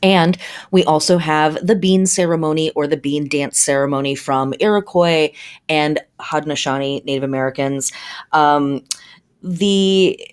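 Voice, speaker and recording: A woman's voice: deep voice, steady tone, unhurried, smooth. A woman hosting a casual talk on the pagan calendar and astrology, chatty with many fillers, laughs and asides. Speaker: podcast host. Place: home in a noisy city.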